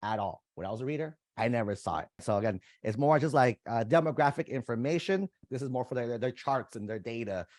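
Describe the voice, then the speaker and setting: A man's voice: medium pitch, expressive, brisk, hardly any pauses, a little mellow, no room sound, clean studio sound. A young man, a college admissions coach whose first language is Vietnamese, talks viewers casually through an online application step by step. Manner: casual, conversational, addresses viewers as "you guys". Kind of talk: screen-share walkthrough tutorial.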